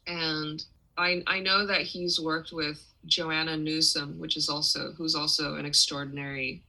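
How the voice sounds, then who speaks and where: A woman's voice: low-pitched, little pitch movement, a little slow, pushed and bright, low-quality recording. A young adult woman from Taiwan, a musician and interview guest, talking casually with many restarts and fillers.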